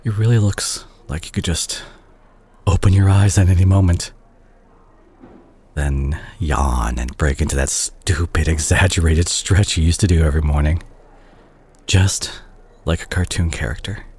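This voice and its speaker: An actor playing a remorseful, caring doctor and ex-boyfriend in an intimate audio roleplay, speaking to the listener in a halting, confessional way. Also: male voice, sits low, a little mellow, a little hiss or hum. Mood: tender, wistful.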